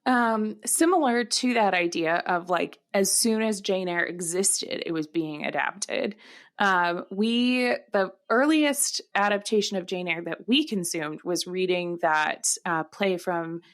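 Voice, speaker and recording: A woman co-hosting a casual discussion podcast, often pausing and searching for her words as she explains. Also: on the low side. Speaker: podcast co-host. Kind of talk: casual book-adaptation discussion.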